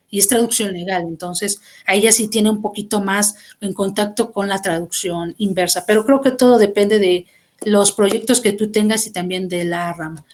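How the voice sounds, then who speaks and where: Mexican woman explaining at length. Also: mid-range voice. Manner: thoughtful, explaining at length with small restarts. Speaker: guest translator. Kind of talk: conversational class interview.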